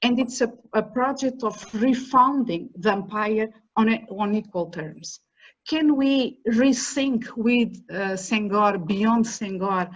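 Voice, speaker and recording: A middle-aged woman academic, whose first language is neither English nor Portuguese, speaking at a roundtable in a measured, emphatic way with repeated words and restarts. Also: mid-range voice, rough recording. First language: a language other than English or Portuguese. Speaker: academic panelist. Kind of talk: roundtable talk.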